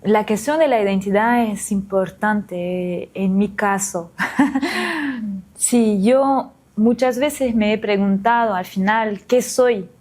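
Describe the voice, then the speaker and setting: A woman from France, in her forties, answers interview questions about her life in an unhurried way. She pauses often and fills gaps with soft hesitations and restarts, thinking aloud as she goes. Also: mid-range voice. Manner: hesitant, many fillers and restarts. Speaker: interview guest, illustrator. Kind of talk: reflective conversational interview.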